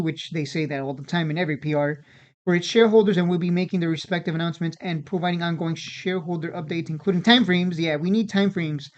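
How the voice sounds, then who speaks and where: Casual stock commentator, slightly dull sound. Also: mid-range voice. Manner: informal, repeats and restarts phrases. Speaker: host of a stock-trading video channel. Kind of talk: casual unscripted market commentary.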